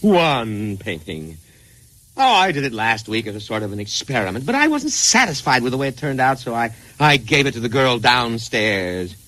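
An actor playing an eccentric, boastful struggling painter with a breezy, chatty manner, in old-time radio comedy style. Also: male voice, mid-range voice, big pitch swings, normal speed, sharp tone. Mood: casual, sly. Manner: breezy, chatty, proud of his own work. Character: eccentric struggling painter, boastful, oblivious, sly, eager to show off.

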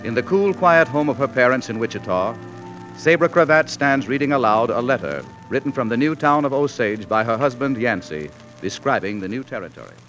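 An actor playing a solemn, stirring narrator of frontier history, declamatory in 1940s radio drama style. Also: male voice, medium pitch, animated, fairly quick, a bit bright, dull audio, close to an everyday voice. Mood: grand, stirring. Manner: grand, declamatory. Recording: vintage radio broadcast over music.

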